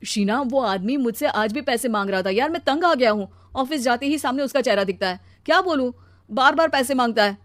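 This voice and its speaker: A woman's voice: on the high side, expressive, brisk, dry recording, pitched above the performer's own voice, lightly acted. A woman voicing a mild, anxious, put-upon working husband in a cartoon story, with a plaintive, weary manner. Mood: fed up. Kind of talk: animated cartoon story dialogue.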